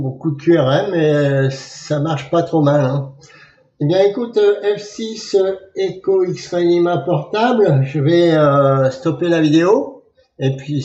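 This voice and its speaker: Mellow, slightly high man in echoey room. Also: highs cut off. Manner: measured, spells out call signs in phonetic alphabet. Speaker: amateur radio operator filming for his channel. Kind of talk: casual ham radio contact, spelling call signs.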